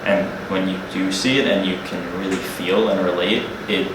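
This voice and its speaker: A man's voice: low-pitched, fairly even intonation, normal speed. A young man, co-founder of a student nonprofit, answering interview questions in an earnest, reflective way. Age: young adult. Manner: earnest, reflective, speaks in long building sentences. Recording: echoey room, distant mic, noisy.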